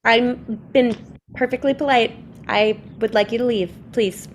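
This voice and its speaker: A woman's voice: a little high, steady tone, measured pace. An actress playing a firm, unflappable woman attorney, cool and assertive, in a staged play reading. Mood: cool, defiant.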